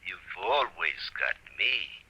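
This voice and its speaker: An actor playing a slangy, needling railroad ghost in an old-time radio comedy-mystery. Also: male voice, low voice, sing-song, takes it slowly, music in the background, heavily acted. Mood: mocking. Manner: slangy, taunting. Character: wisecracking male railroad ghost, needling and slippery. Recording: dull, noisy vintage radio recording.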